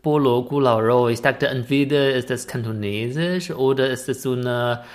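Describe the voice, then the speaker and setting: A man's voice: medium pitch, normal speed. A man co-hosting a casual podcast, teasing his partner and patiently explaining things. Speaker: podcast co-host.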